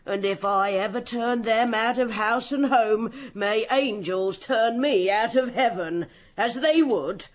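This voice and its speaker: An actress playing a kind-hearted but firm middle-aged shopkeeper's wife, speaking emphatically in a radio drama. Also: female voice, fairly high pitch, slow, hardly any pauses. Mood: indignant, compassionate. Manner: emphatic, indignant, sentimental.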